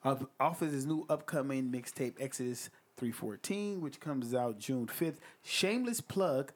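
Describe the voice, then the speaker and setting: A man's voice: mid-range voice, animated, a little slow. A man with a light voice hosting a music review show, chatting loosely, full of slang and swearing. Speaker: podcast host reviewing music. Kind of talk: casual chat.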